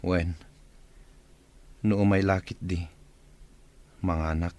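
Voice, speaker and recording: A man's voice: low voice, fairly even intonation, slow pace, darkish tone, no room sound. An actor playing a stern, questioning man in a radio drama.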